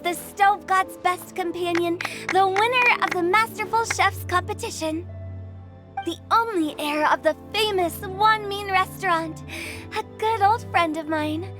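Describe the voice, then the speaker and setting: A woman's voice: extremely high pitch, measured pace. A woman playing a playful, theatrical young woman in a video game, with a breezy, teasing, showy delivery. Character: playful, theatrical young woman, cheeky funeral parlor director. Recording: music behind the voice.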